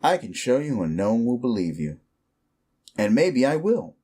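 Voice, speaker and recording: A man's voice: medium pitch, big pitch swings, normal speed, warm tone, slightly rough. A man playing a sleazy, bullying werewolf office boss in an audio roleplay, condescending, cajoling and foul-mouthed as he berates the listener. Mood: conspiratorial, threatening. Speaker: voice actor performing a roleplay.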